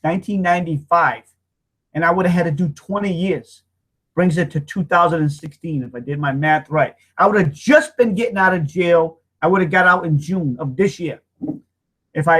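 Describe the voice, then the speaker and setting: A man in his fifties telling his own life story as a personal testimony, emotional and halting, often repeating himself and starting over. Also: high-pitched, fairly bright tone, poor audio. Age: 50s.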